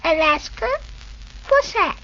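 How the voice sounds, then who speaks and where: A woman in a vintage radio play voicing Winnie, a prim, fussy little girl who speaks slowly. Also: very high-pitched, animated, pushed and bright, full character voice. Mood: fussing. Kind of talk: 1940s radio acting. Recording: dull hissy old radio recording.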